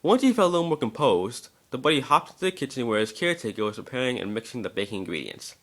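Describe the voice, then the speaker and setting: A man's voice: mid-range voice, very animated, fast talker, a bit bright. A man narrating a scripted story as an amateur dramatic reading, now and then stumbling over words.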